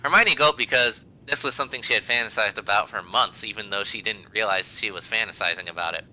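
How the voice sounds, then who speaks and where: A man's voice: lowish voice, fast talker, bright, forceful tone, a little hiss or hum, rough recording. A man reading fan fiction aloud as a podcast host, amused and hamming up the emphasis. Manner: breaks into laughter while reading, hammy emphasis.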